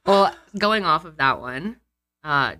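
Woman, low pitch, animated intonation, dry sound, casual podcast co-host, agreeable, joking. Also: takes it slowly, dry recording. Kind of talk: casual chat reading listener questions.

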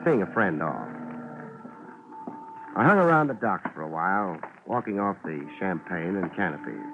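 An American actor playing a wisecracking, laid-back private detective in a 1950s radio drama, with a wry, deadpan delivery. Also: male voice, fairly low pitch. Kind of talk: 1950s radio drama acting, hard-boiled narration. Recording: noisy, muffled 1950s radio recording.

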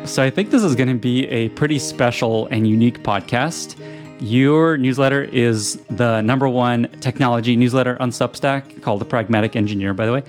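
A man hosts a podcast, reading sponsor spots in a smooth, scripted way before turning to questions for his guest. His voice sits in an ordinary middle pitch. Guitar music plays clearly behind him. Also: brisk. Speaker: podcast host. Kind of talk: read ad spots and interview questions.

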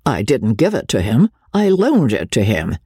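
A man's voice: medium pitch, huge pitch swings, normal speed, dark tone, slightly rough, dry recording, clean studio sound. A man reading a novel aloud voices a stout, world-hardened middle-aged woman who speaks in a brusque, matter-of-fact way.

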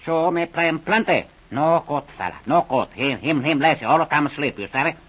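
An actor playing an agitated, tight-fisted old Chinese baker who speaks repetitive broken English in a radio drama. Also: male voice, on the high side, animated, brisk, a bit bright, breathy edge. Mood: grumbling, indignant. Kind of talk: 1940s radio drama acting. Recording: dull old radio recording.

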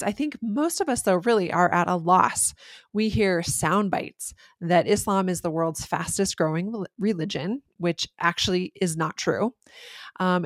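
A woman's voice: medium pitch, no room sound, studio quality. A woman hosting a faith podcast, giving an earnest, reflective intro and then interviewing her guest. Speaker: podcast host.

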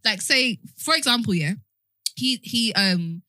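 A woman's voice: fairly high pitch, animated, unhurried, pushed and bright, no echo. A British woman in her twenties co-hosting a podcast, talking candidly and emphatically in a slangy, advice-giving way. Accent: British English. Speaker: podcast co-host. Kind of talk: candid casual chat. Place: podcast studio.

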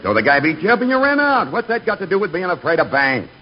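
A man's voice: high voice, big pitch swings, fast. A man playing a blunt, slangy, skeptical bank doorman in 1940s radio comedy. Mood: coaxing. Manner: slangy, ungrammatical. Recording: muffled, hissy 1940s radio recording.

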